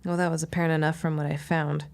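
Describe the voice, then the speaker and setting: A spirited young shopkeeper in a period radio play. Her voice sits on the low side, and her manner is plainspoken, wry and forthright. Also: level tone, fast, creaky, a little mellow. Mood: wry, friendly. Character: plainspoken, loyal, spirited young shopkeeper woman, 1910s drama. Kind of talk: period audio drama acting.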